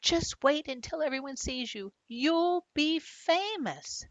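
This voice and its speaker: A woman's voice: sits high, lively intonation, slow, dry recording, voice pushed up, full character voice. A woman voicing an eager, cheering young storybook boy who is proud of his pet goldfish. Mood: excited cheering.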